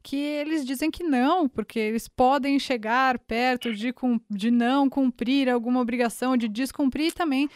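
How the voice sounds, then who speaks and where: A woman hosts a podcast with a fairly high, friendly voice, setting up context and asking questions. The sound is close and dry. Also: moderate pace, few pauses, dry recording. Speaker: podcast host. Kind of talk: conversational podcast hosting.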